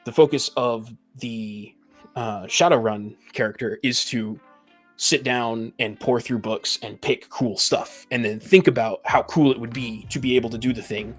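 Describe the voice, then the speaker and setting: A man with a mid-pitched voice speaks in a casual, analytical podcast discussion. He explains his points step by step and concedes where he might fall short. Light music plays underneath. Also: fairly even intonation, measured pace. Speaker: podcast panelist. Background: background music.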